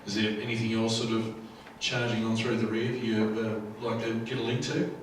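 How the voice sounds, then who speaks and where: A man's voice: fairly low pitch, little pitch movement, brisk. A man asking casual questions as moderator of a panel workshop. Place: live workshop room. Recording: very echoey, distant mic, faint room noise.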